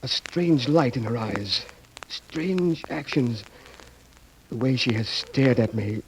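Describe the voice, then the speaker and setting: An actor playing an ailing, frightened husband in 1930s radio melodrama, halting and anguished as he gasps for breath. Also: mid-range voice, lively intonation. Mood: fearful. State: choking, gasping, weakening. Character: ailing, fearful husband gasping out his suspicions. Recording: noisy, crackly 1930s radio recording.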